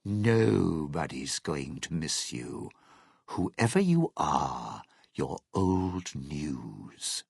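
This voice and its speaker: A gravelly, cynical game schemer. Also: male voice, on the high side, big pitch swings, slow, no echo, studio quality, full character voice. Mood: cold, menacing. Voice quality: gravelly, raspy. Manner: wry, theatrical, hesitant muttering turning to threats. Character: cynical, self-serving male lounge singer, slick and menacing. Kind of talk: video game character dialogue.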